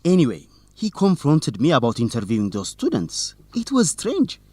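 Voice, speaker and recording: A man's voice: medium pitch, huge pitch swings, normal speed, dark tone, no echo. An actor playing a determined, teasing young male student reporter in an educational radio drama, in plain, simple sentences. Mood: determined, suspicious.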